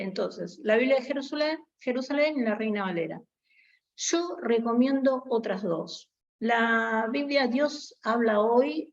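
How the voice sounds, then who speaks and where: An Argentine woman teaching a theology class online. She speaks slowly and hesitantly, stopping on frequent fillers between phrases. The sound is slightly muffled, as over a video call. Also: fairly high pitch. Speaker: guest lecturer.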